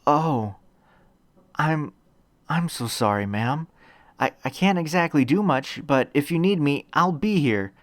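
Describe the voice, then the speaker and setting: Flustered teen hero boy played by a male reader, polite, stammering, raised pitch, audiobook dialogue. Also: animated, measured pace, dry recording. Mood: gentle, stammering. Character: earnest, flustered teenage boy hero in training.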